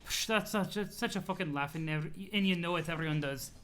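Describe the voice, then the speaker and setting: A pompous young troll played by a man with a silly Scottish accent, high-pitched and forceful. He rants with wounded, megalomaniacal indignation, in the manner of a comic webcomic reading. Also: a little fast, slight background noise. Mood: indignant. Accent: put-on Scottish. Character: haughty, foul-mouthed, megalomaniacal young male troll sea dweller. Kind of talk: comic read-aloud of a webcomic.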